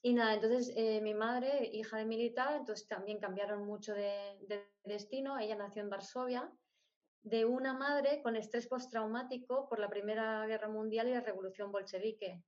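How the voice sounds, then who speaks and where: A woman's voice: a little high, fairly even intonation, normal speed, darkish tone. A middle-aged woman telling personal stories as an interview guest, in short phrases that she often repeats for emphasis. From born in Spain, grew up moving between countries. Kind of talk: personal storytelling in conversation. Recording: dull, lo-fi audio.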